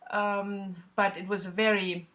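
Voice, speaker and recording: A woman's voice: mid-range voice, level tone, a little slow, a bit bright. A middle-aged woman from southwest Germany with a German accent, chatting casually to camera as a book vlogger, with frequent fillers and restarts.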